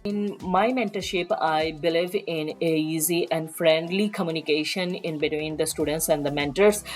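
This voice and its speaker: Woman, Bangladeshi accent, 30s, deep-ish voice, measured self-introduction, faint background music. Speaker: research mentor.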